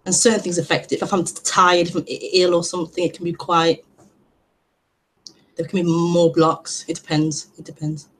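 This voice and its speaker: A woman with a stutter, hosting an online meeting and giving a gentle, reflective spiritual talk with frequent repetitions and blocks. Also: lowish voice, little pitch movement, normal speed, a little hiss or hum, low-quality recording. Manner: gentle, reflective, laughs lightly at herself. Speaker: non-duality teacher hosting an online meeting. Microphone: online call broadcast.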